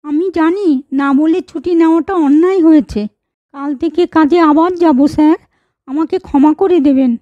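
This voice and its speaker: Shy poor teen girl in a cartoon, apologizing politely, high voice, a bit muffled, woman doing it. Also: normal speed, no room sound, close to an everyday voice. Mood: apologetic. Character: poor teenage schoolgirl, quiet and humble. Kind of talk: storybook cartoon dialogue.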